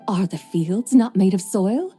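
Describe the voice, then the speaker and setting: An actress voicing a proud ancient horse spirit who is a goddess of farming in a video game, speaking in a lofty, formal, scolding way. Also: female voice, medium pitch, a little slow, warm tone, breathy edge. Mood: haughty. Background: game soundtrack music.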